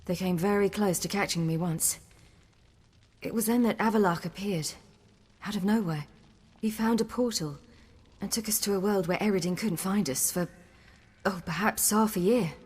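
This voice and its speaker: An actress playing a young hunted woman warrior in a video game, earnest, wry and defiant, telling things in a measured way. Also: medium pitch, a little mellow, breathy edge, big-room sound, close to an everyday voice. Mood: reflective, wry. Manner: measured, earnest storytelling with dry wit. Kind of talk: video game dialogue acting.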